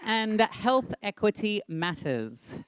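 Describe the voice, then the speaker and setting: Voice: mid-range voice, big pitch swings, takes it slowly, clean tone, a faint crowd, rough recording. A playful, self-mocking host opening a live community forum from a stage. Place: stage in a hall.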